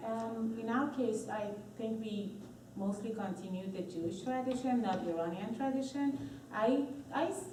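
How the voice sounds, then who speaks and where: A woman from Iran speaking in a group discussion, measured with some hesitation. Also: a little high. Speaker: panel speaker, parent. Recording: echoey room, distant mic, noise.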